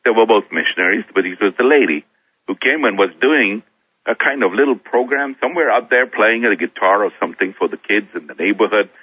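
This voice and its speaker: A middle-aged man from Kenya, a guest on a talk show, telling his own story in a halting way, often restarting his words. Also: lowish voice, animated, slightly rough, no echo. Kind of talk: personal testimony in a talk show interview. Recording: thin phone-like sound, dry.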